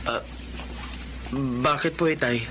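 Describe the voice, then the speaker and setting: A man's voice: on the high side, takes it slowly, bright, forceful tone, slight background noise. A man acting an earnest, hardworking adult son in a Filipino drama, speaking emphatically. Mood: bitter, reproachful. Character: resentful, hardworking adult son, aspiring police officer.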